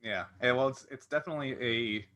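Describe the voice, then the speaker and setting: A man with a mid-range, notably bright and forward voice hosts a casual interview. He feels his way toward each question in halting, circling phrases. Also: medium pitch. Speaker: interview host.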